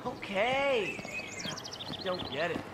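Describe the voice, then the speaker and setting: A man's voice: high-pitched, sing-song, extremely slow, heavy background noise, full character voice. A man playing a laid-back, lazy younger brother in a video game, nonchalant and slangy with a drawl. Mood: laid-back, dismissive. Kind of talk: video game character acting. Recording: roomy echo under loud music.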